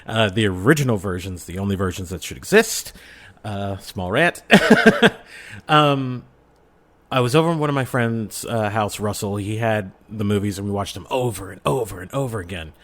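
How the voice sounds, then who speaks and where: A man's voice: lowish voice, normal speed, fairly bright tone. A middle-aged man chatting casually as a podcast guest and telling personal stories with enthusiasm. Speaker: podcast guest, audio drama creator. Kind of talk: casual chat, personal storytelling.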